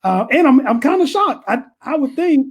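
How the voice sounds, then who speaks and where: A man hosting an interview show in a relaxed, easygoing way, laughing often and restarting his questions. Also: very high voice, normal speed. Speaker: interview host. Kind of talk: casual interview chat.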